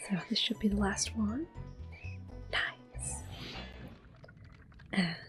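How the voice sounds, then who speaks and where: A woman giving gentle, soft-spoken ASMR gameplay commentary in a breathy whisper. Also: medium pitch, huge pitch swings. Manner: gentle, unhurried. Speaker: gameplay host. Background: soft game music.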